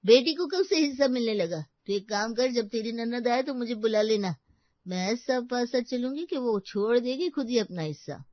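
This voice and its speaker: A woman's voice: a little high, expressive, moderate pace, dry recording, dull audio, close to an everyday voice. A woman playing a scheming, falsely sweet middle-aged mother with an insinuating, emphatic manner in a story. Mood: indignant, scheming.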